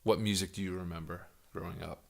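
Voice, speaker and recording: A man's voice: sits low, steady tone, fast, vocal fry. A man with an American accent hosting a podcast and casually interviewing a guest.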